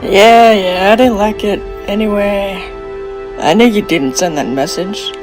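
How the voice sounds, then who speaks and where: A woman's voice: medium pitch, measured pace, over music, close to an everyday voice. A woman voicing a cool, protective pony sister in a cartoon fan dub, casual and matter-of-fact, with a wry edge.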